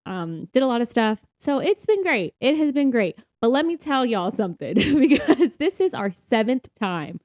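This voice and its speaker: Upbeat, mellow-voiced woman chatting on a podcast. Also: on the high side, very animated, moderate pace, hardly any pauses, no echo, studio quality. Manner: upbeat, laughs often while talking. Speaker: podcast co-host. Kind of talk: casual podcast chat.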